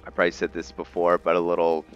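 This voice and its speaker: A man giving unscripted roleplay commentary over a video game, halting and deliberate, with long pauses. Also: sits low, monotone, dull audio, faint music behind. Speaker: gamer hosting a roleplay playthrough.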